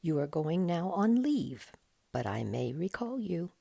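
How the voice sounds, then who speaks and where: Storybook king, read by a woman: noble, kindly authority, formal and firm, low and husky. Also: sing-song, measured pace, warm tone, no echo, full character voice.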